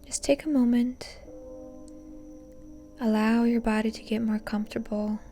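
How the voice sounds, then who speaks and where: A woman leading a guided meditation in a soft, breathy, often near-whispered voice, calm and gently instructing. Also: on the high side, measured pace, faint music behind. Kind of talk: soothing guided meditation narration.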